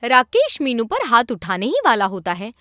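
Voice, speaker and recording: A woman narrating a scripted animated horror story. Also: on the high side, moderate pace, no room sound, clean studio sound.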